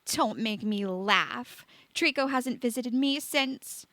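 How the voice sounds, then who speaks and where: A woman plays a teenage girl ghost from a storybook, high-voiced and full of self-pity. She is whiny, sulky and theatrical, turning every line into melodrama. The sound is close and dry. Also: slow pace, a bit bright, no echo. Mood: sulky, gloating.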